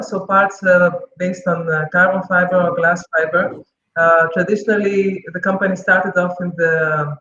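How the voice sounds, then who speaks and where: A man's voice: high-pitched, sharp tone. A man from the Caribbean introducing his manufacturing company on a video call, polite with a few hesitations. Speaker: company representative. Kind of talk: casual spoken introduction. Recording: echoey dull video call audio.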